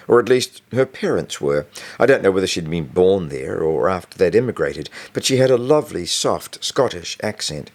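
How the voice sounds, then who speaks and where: A man with a low-pitched voice reads a first-person novel aloud. His narration is scripted but conversational, like someone recounting his own school days. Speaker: author reading own novel.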